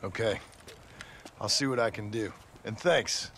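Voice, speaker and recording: Blunt, sardonic gunslinger. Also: male voice, lowish voice, unhurried, fairly bright tone, a little hiss or hum. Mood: dry sarcasm. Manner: terse, dry, sardonic. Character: blunt, weary male Wild West gunslinger, sardonic and stern. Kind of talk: western video game acting.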